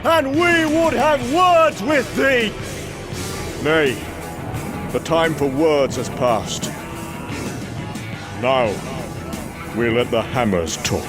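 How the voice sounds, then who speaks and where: A man playing gruff, battle-hardened Norse thunder gods in a fantasy audio drama, theatrical and declamatory with archaic phrasing. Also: fairly high pitch, sing-song, very slow, long pauses, music in the background, heavily acted. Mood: defiant.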